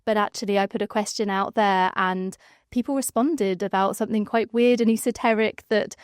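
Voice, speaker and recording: A woman's voice: mid-range voice, fairly even intonation, fairly quick, clean tone, no echo, clean studio sound. Woman guest recalling experiences. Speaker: guest researcher. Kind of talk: casual podcast conversation.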